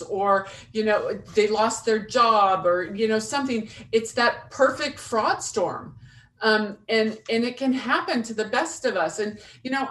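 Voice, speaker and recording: A woman hosting an interview, lively and self-deprecating, laughing easily between questions. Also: fairly high pitch, far from the mic. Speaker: podcast host. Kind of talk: casual interview talk.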